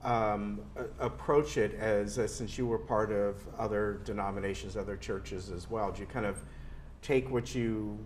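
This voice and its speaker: A man's voice: fairly low pitch, steady tone, normal speed, a little mellow, slight background noise. A man interviewing guests on a community TV show, friendly and encouraging. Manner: friendly, encouraging with short backchannels.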